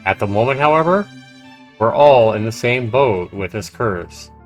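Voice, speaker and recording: A man's voice: medium pitch, lively intonation, measured pace. A man livestreaming a game, reading its narration aloud dramatically with casual, profane asides. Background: faint game music.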